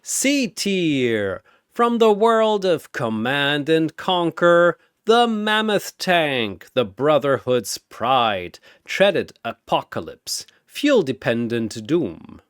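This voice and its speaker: A man's voice: on the high side, big pitch swings, very slow, hardly any pauses, smooth, no echo, studio quality, exaggerated voice. A man voicing a flamboyant four-armed godlike arena announcer, a sardonic showman with a grandiose, theatrical delivery. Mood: booming, hyped. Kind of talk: ring-announcer fighter introductions.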